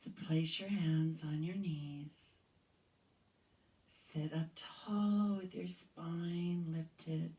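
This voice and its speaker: Soft-spoken yoga teacher, low and slow. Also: female voice, distant mic, poor audio. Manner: slow, calm guiding with whispered cues. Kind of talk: guided yoga instruction. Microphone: handheld or clip mic.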